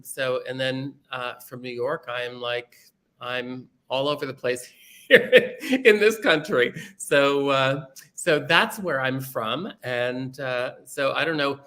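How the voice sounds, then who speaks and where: An animated man from Canada and England talks as a guest on a casual chat show. His pitch sits in the middle for a man. He tells anecdotes in a chatty way, with careful diction and a readiness to laugh.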